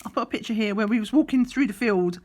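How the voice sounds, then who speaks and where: A middle-aged woman, slightly croaky, chatting in a rambling way while doing a craft, sometimes counting under her breath. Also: on the high side, fast talker, a little mellow. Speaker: crafting vlogger. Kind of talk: relaxed chatty craft vlog. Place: at a craft table indoors.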